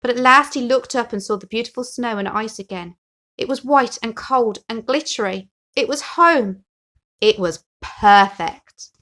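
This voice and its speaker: A woman reading a children's picture book aloud as an expressive storyteller. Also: fairly high pitch, measured pace. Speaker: story reader.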